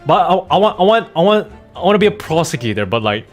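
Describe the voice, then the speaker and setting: Animated, slangy male game streamer. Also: high voice, fast, faint music behind. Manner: slangy, profane, bantering. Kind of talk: casual gameplay commentary.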